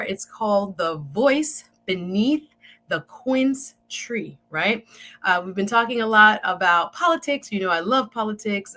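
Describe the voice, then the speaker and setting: A woman's voice: mid-range voice, pushed and bright. A woman hosting an interview show, chatty and enthusiastic, talking straight to her viewers. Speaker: show host.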